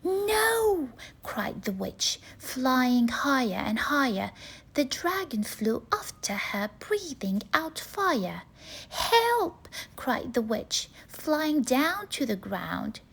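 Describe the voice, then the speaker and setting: Animated, husky woman storyteller. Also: on the high side, slow pace. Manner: dramatic, rhythmic phrasing. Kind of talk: expressive children's read-aloud of a rhyming bedtime story.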